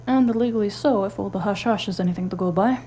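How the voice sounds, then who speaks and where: Actress as hard-nosed cyberpunk woman, low-brightness mellow tone, blunt, sardonic, audio drama dialogue, slight hum. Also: fast talker, close to an everyday voice. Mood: dry, scornful. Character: tough, foul-mouthed, sardonic female cyberpunk partner in crime.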